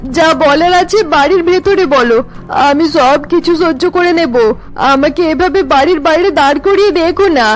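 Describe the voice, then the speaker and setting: High-pitched, pleading elderly mother-in-law. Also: moderate pace, soft background music. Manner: plaintive, pleading. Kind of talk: cartoon family melodrama acting.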